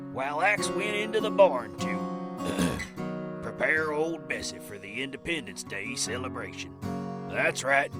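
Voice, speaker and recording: A man playing a wry, rambling western narrator who tells a comic tale with folksy, conversational asides. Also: a little high. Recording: loud guitar music under the voice.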